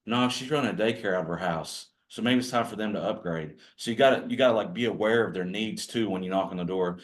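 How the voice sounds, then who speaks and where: Male trainer, low growly voice, folksy and self-deprecating, workshop delivery. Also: fast talker. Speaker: real estate agent training other agents. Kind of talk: casual workshop talk to a group.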